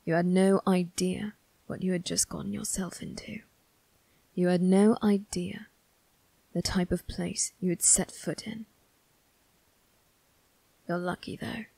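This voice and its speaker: A woman playing a cold, menacing young male vampire crime boss in an ASMR roleplay, speaking in a breathy, husky half-whisper with a measured, condescending manner. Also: fairly low pitch, fairly even intonation, mellow, no room sound, exaggerated voice, poor audio. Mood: condescending menace. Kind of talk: ASMR character roleplay addressed to the listener.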